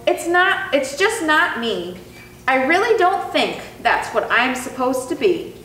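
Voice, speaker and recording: Little lost boy turtle played by a woman, plaintive, high-pitched, storybook character, unhurried, roomy sound. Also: big pitch swings, bright, forceful tone, distant mic, full character voice. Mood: politely refusing. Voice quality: tiny voice. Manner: plaintive, polite but insistent. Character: lost, tearful little baby sea turtle, male. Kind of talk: expressive children's storybook character reading. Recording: roomy sound with faint noise.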